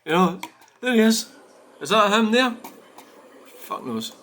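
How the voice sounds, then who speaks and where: A man's voice: high-pitched, very animated, unhurried, a bit bright. A Scottish man hosting his own channel, ranting indignantly and profanely about viewer comments with plenty of "you know" fillers. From Scotland. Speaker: channel host.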